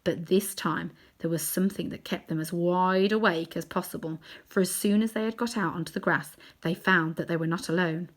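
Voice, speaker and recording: A woman reading a children's novel aloud in chapters, adding gentle asides to her listeners. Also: on the low side, fairly even intonation, brisk, hardly any pauses. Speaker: storybook reader.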